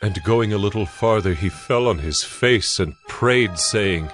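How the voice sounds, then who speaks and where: A man narrating dramatized scripture in a measured, formal storytelling manner. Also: lowish voice, lively intonation, a bit bright, faint music behind.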